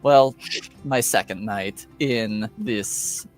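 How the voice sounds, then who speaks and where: A man's voice: on the low side, very slow. A man acting as game master, casually improvising narration and in-character talk at a tabletop roleplaying game. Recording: music behind the voice.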